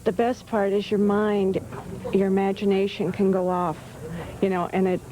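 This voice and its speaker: A woman's voice: medium pitch, level tone, normal speed, crowd noise, low-quality recording. Woman chatting amid background voices. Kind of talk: casual interview chat.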